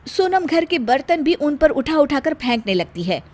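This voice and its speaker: A woman's voice: high voice, sing-song, normal speed. A woman narrating a scripted story in the role of storyteller.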